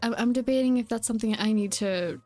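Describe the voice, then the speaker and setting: A woman's voice: fairly high pitch, fast talker, mellow. A woman streaming a game, chatting casually in a hesitant, rambling way and often correcting herself. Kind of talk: casual chat while playing a game. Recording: music behind the voice. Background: background music.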